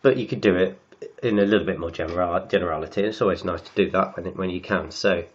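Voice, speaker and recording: Croaky man talking through maths. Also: deep voice, lo-fi sound. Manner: halting, repeats words while writing. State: has a cold, croaky and sniffly. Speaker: maths tutor. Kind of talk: working through textbook maths aloud.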